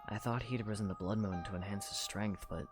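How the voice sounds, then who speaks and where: A man voicing a young male hero in a fan audio drama, earnest and protective, speaking gently and with care. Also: fairly low pitch, steady tone, over music, lightly acted. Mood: thoughtful. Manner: gentle, caring, measured. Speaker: voice actor.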